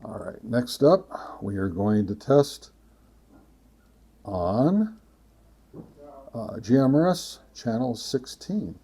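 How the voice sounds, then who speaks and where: A man with a soft, mellow voice of middling pitch presents a hands-on test. He talks casually but methodically, with halting pauses, and reads out figures deliberately. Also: lively intonation, breathy edge. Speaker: video host, radio reviewer. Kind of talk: casual product test narration.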